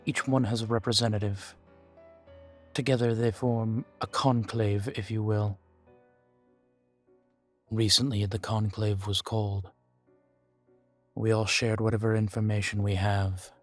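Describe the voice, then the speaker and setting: A man playing an ancient vampire in an audio roleplay, guarded, weary and grave, speaking in a measured, deliberate way with dramatic pauses. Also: lowish voice, little pitch movement, faint music behind, close to an everyday voice. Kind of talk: scripted audio roleplay addressed to the listener.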